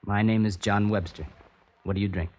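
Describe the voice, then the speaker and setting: An actor playing a wry American poet. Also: male voice, lowish voice, moderate pace, lo-fi sound, close to an everyday voice. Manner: calm, wry, thoughtful. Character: reflective American poet abroad, a man. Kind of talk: 1950s radio drama acting. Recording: vintage radio broadcast with faint hiss.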